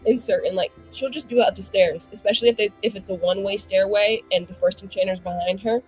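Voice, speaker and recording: A woman's voice: mid-range voice, quick pace, soft background music, low-quality recording. A woman playing a tabletop role-playing game, rambling on at length about her character with frequent laughter. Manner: rambling, laughing, lively swings in tone. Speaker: player.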